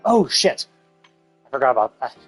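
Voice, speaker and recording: A man livestreaming a video game with casual, sweary, exasperated commentary in a husky voice. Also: mid-range voice, big pitch swings, moderate pace, soft background music.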